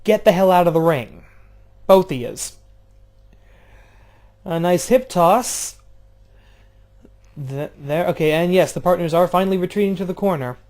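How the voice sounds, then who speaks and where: Excitable man calling game wrestling. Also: unhurried, long pauses. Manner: swings between loud outbursts and low offhand asides, swears casually. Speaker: play-by-play commentator. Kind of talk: excitable play-by-play of a video game wrestling match.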